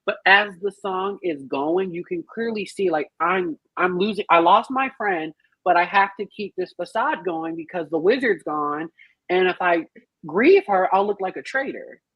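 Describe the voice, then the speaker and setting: A woman's voice: on the low side, expressive, moderate pace, husky, no echo, lo-fi sound. A woman speaking casually and emphatically as a podcast panelist in a group review, explaining things in detail. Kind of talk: casual group chat, film and musical review.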